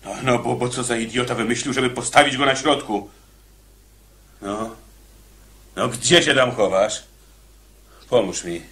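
A grumbling, slow-talking condemned convict. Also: male voice, medium pitch, bright, forceful tone, husky, full character voice. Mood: exasperated, bitter. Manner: exasperated, grumbling, halting repetitions. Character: gruff, irritable condemned convict, bossy with his cellmate. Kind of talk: radio play acting. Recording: noisy radio drama recording with clicks.

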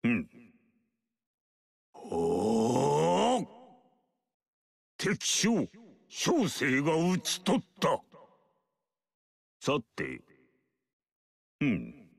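A man voicing an aloof male sage mystic in a video game, speaking in a measured, lofty, archaic way. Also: medium pitch, wildly swooping pitch, vocal fry, slightly rough, heavily acted. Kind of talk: game character voice lines.

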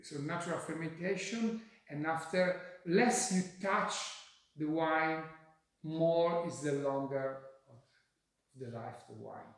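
Italian man, halting English, echoey room. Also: fairly high pitch. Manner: halting, searching for English words with many fillers. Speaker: winemaker. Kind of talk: interview answers. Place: bright kitchen-like tasting room, indoors.

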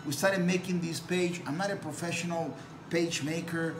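A man in his forties who learned English as a second language speaks in a fairly high voice, earnest and preachy, circling back to repeat his points. He talks to the camera from a small room that rings with echo, with steady background noise under him. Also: normal speed. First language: not English. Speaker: dog breeder. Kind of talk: talking to camera.

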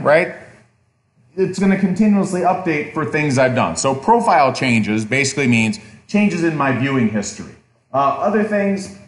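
A man giving a recorded college lecture, talking casually and thinking aloud as he clicks through examples on screen. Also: medium pitch.

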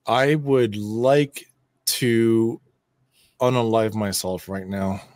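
A man's voice: fairly low pitch, fairly even intonation, drawn-out words, darkish tone, no echo. Man chatting casually, dry sound. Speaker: show co-host. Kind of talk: casual chat.

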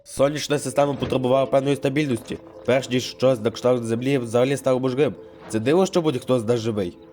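A man playing a calm storyteller in a cartoon, explaining planetary history in a measured, scripted way. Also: medium pitch, a little hiss or hum, close to an everyday voice. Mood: explanatory. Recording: music behind the voice.

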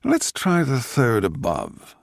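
A man's voice: mid-range voice, huge pitch swings, takes it slowly, vocal fry, dry recording, studio quality, full character voice. A man voicing a brash, immodest, gleeful young male composer in a radio drama. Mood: boastful, gleeful.

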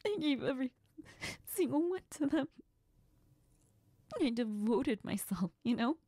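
A woman playing a heartbroken young woman crying to her best friend in an intimate audio role-play, halting and apologetic, often slipping into whispers. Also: high-pitched, lively intonation, warm tone, no echo, full character voice. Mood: sobbing, heartbroken. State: crying, tearful, sniffling.

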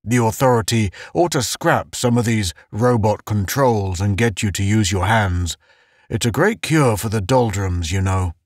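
A man's voice: lowish voice, a little slow, no room sound, clean studio sound. A man voicing an earnest, insistent, well-meaning space officer giving encouragement in an audiobook. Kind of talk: audiobook character voice.

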